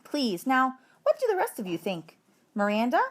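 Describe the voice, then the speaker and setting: A woman's voice: high voice, huge pitch swings, moderate pace, voice pushed up. A woman reading a children's storybook, voicing a firm, patient female schoolteacher who addresses her class. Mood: inviting. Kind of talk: children's storybook read-aloud.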